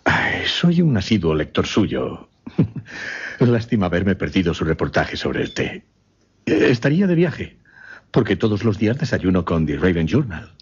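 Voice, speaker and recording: An actor playing a courteous, earnest gentleman in a radio drama, speaking formally and with some hesitation. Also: deep voice, sing-song, a little slow, husky, exaggerated voice. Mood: genial, attentive. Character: courteous, earnest gentleman host, troubled by a scandal. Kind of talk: Spanish-language radio drama acting. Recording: muffled, lo-fi radio drama recording.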